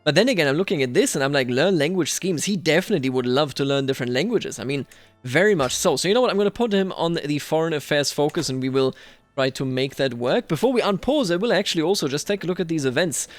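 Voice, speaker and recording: Higher-pitched man narrating a game over music. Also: animated, quick pace. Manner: conversational, thinking aloud. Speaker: host of a video game roleplay series. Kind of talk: casual game commentary with roleplay storytelling. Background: background music.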